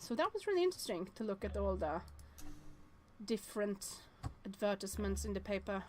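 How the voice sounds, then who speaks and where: A woman streaming a game with casual, expressive commentary, thinking aloud and reading on-screen text as she goes. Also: medium pitch, fairly quick, a little hiss or hum.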